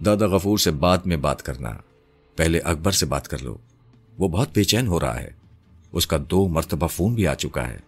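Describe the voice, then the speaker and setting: Man reading an anxious wife, low husky voice. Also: lively intonation, faint music behind. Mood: urging. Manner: questioning, urging. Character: anxious, impatient wife of the uncle.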